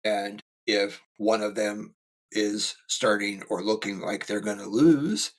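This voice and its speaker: A man's voice: lowish voice, slow pace. A middle-aged man co-hosting a podcast as a school safety specialist, talking casually with dry teasing humor.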